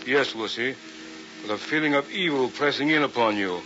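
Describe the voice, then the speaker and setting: A man's voice: mid-range voice, moderate pace, bright, forceful tone, faint music behind, close to an everyday voice. A man acting as an arrogant, coldly rational scientist and domineering husband in a vintage radio drama, with a pompous, lecturing manner. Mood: gloating. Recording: muffled, hissy vintage radio recording.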